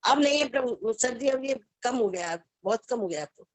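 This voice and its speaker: A woman fondly recalling the old days in a casual group chat, speaking haltingly with frequent restarts. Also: on the high side, expressive, dry recording. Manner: nostalgic, halting, restarts often. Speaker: discussion guest. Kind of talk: casual reminiscing. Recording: dry, dull lo-fi call audio.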